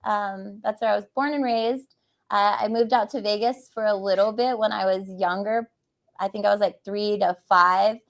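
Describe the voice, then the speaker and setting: Chatty woman, mid-pitched. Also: steady tone, moderate pace. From West Texas. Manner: chatty, laughs often. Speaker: riding academy coach and guest. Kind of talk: casual interview chat. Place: motorcycle dealership.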